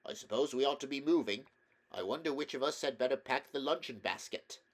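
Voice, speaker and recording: A man's voice: medium pitch, brisk, pitched above the performer's own voice, natural voice. A man voicing a cheerful, sociable male water rat in a storybook, chummy and full of exclamations. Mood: easygoing. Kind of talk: storybook character voice in a read-aloud.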